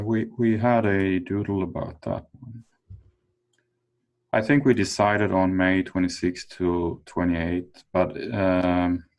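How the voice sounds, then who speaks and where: A man's voice: deep voice, a little mellow. A man chairing an informal work conference call, hesitantly thinking aloud. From Sweden. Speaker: meeting chair.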